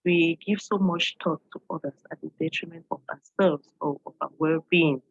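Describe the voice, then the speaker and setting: A woman's voice: low voice, fairly even intonation, normal speed, slightly rough, dry recording, lo-fi sound. A woman from Cameroon, an English teacher, giving an earnest online presentation and posing questions to her audience, with frequent fillers.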